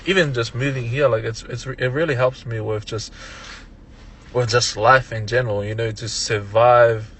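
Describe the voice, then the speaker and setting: Young Tongan man, easygoing podcast guest, mid-pitched voice, chuckles between thoughts. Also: steady tone, normal speed, a bit bright, a little hiss or hum. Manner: laid-back, laughs often, many restarts. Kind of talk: casual interview chat.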